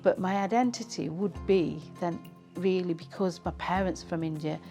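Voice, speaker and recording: A woman's voice: fairly low pitch, moderate pace, mellow, soft background music. A woman, reminiscing in a conversational, reflective way as she is interviewed. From England.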